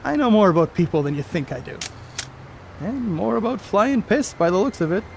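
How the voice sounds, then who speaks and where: A crude, boastful middle-aged baseball star acted by a man in a period stage play. His voice sits high and swings freely as he tosses out cocky slang. The recording has clear background noise. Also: very animated, a little fast, heavily acted. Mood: careless, joking. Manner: cocky, slangy, coarse-mouthed. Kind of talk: stage play acting, 1930s American banter.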